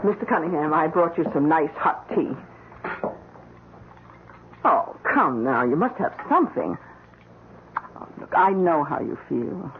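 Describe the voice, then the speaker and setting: Solicitous, formal older female housekeeper, lively intonation, slightly noisy old radio drama audio. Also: sits low, a little slow, long pauses, narrow, tinny audio. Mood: coaxing sympathy.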